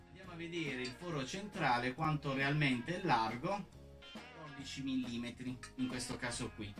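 A man's voice: mid-range voice, recorded from a distance. A man casually walking viewers through a hands-on comparison, explaining step by step. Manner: relaxed, explanatory, checks in with "ok?". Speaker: motorcycle vlogger.

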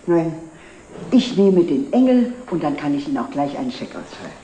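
A woman's voice: low-pitched, big pitch swings, normal speed. An actress playing a well-off, chatty middle-aged lady customer in a 1960s TV drama. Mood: decisive, gracious. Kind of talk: 1960s German television drama acting. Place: indoor antique shop set. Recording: roomy, noisy old TV soundtrack.

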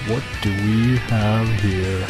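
A man voicing a laid-back, sardonic skeleton in a cartoon comic dub, deadpan and lazy. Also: fairly low pitch, slow pace. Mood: teasing. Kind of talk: comic dub character acting. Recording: loud guitar music behind.

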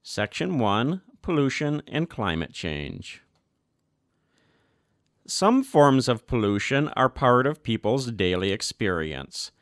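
A man giving a measured, earnest audiobook reading of a formal church document. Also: fairly low pitch, fairly bright tone, dry recording, clean studio sound.

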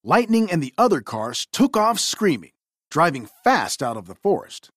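A woman reading a children's storybook aloud in an expressive, theatrical way. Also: low-pitched, normal speed, slightly rough, no echo, studio quality. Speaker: storybook reader.

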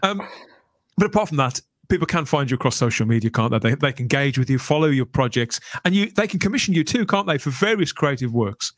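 A man's voice: mid-range voice, animated, fast talker, dry recording. Effusive male podcast host, close and dry. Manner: effusive, appreciative. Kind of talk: casual podcast chat. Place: small room at home, on a video call. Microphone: close desk microphone.

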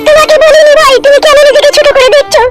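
A piercingly high-pitched cartoon village wife. She is curt, grudging and sharp-tongued, grumbling in a rural West Bengal accent over music. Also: little pitch movement, rapid-fire. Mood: irritated. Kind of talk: comedy cartoon dialogue.

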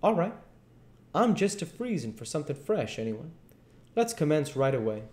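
An old rustic swindler who calls himself a king, eager and folksy, as played by a man reading a storybook. The voice sits raised in pitch, with room echo around it. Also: sing-song, moderate pace, a little mellow. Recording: echoey room.

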